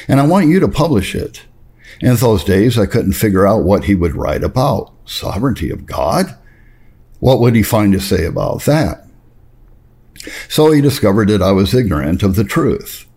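Deep-voiced, husky man reading with lively emphasis. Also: moderate pace. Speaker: reader and narrator of religious history. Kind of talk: reading a memoir aloud with spoken asides.

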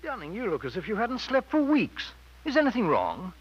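A man's voice: high-pitched, wildly swooping pitch, brisk, breathy edge, no room sound, heavily acted. An actor playing a concerned, earnest male friend in a 1940s radio drama. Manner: solicitous, earnest. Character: concerned, helpful male friend of a troubled man. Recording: dull, lo-fi 1940s radio recording.

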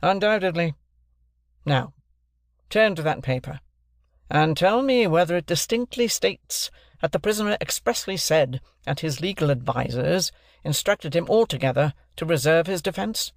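A man voicing a bullying, sarcastic gentleman who cross-examines in a hectoring, authoritative manner for an audiobook. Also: on the high side, lively intonation, normal speed, vocal fry, no room sound, clean studio sound, pitched above the performer's own voice. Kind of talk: classic novel narration, character voice.

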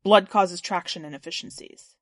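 A woman voicing an earnest, literal highway inspection robot in an audio story, with formal technical phrasing and a flat mechanical manner. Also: moderate pace, dry recording, lightly acted. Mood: matter-of-fact.

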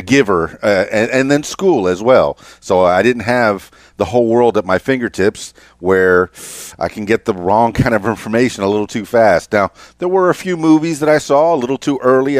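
A man in his fifties hosting an interview, speaking haltingly with many repeated words and restarts. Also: medium pitch, no room sound, clean studio sound. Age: 50s. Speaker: podcast host. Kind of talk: interview conversation.

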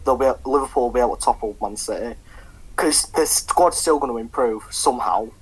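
A man's voice: medium pitch, a little fast, husky, poor audio. A young man co-hosting a casual football podcast, swearing a lot and rambling with many ums. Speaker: podcast co-host.

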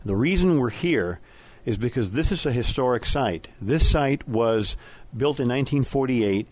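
A middle-aged or older man co-hosting a radio talk show, explaining things in a casual, plain-spoken, measured way. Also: medium pitch, animated, darkish tone.

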